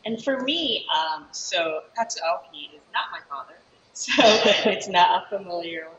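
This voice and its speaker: A woman's voice: fairly low pitch, expressive, moderate pace, a bit bright, slight background noise. A woman from Chicago speaking as a guest on a video call, earnest and chatty, with frequent likes and ums. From Chicago, United States. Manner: earnest, uses like and um. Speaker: guest, taiko performer. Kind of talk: casual interview talk. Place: large open studio space. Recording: echoey muffled room over video call.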